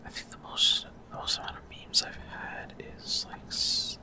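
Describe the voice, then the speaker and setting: A man whispering casual, rambling gameplay commentary for ASMR in a breathy, unvoiced whisper, often hesitant as he searches for words. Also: moderate pace, sharp tone. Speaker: gaming ASMR creator.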